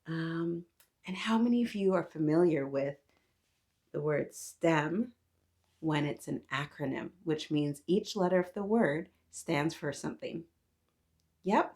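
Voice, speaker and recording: A woman with a slightly low voice hosts a story time for little kids. She speaks like an encouraging teacher, putting questions to her young listeners and praising them as she reads aloud. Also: darkish tone. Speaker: children's story-time host. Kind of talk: read-aloud for young children, talking to little viewers.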